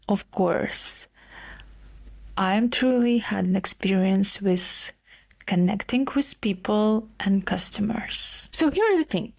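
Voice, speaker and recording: Slow, earnest Ukrainian-accented woman host. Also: mid-range voice, a little mellow. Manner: earnest, slightly halting non-native phrasing. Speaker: podcast host. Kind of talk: solo podcast monologue.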